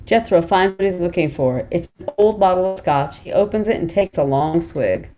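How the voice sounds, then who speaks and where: Female stage directions reader, lowish pitch, dark soft-edged tone, scripted screenplay narration. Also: normal speed. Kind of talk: scripted narration for a table read.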